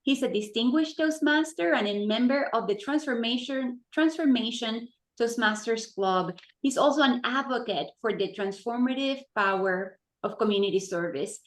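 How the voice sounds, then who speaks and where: Woman, somewhat high voice, formal prepared introduction, echoey room. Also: normal speed, few pauses. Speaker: event chair and host.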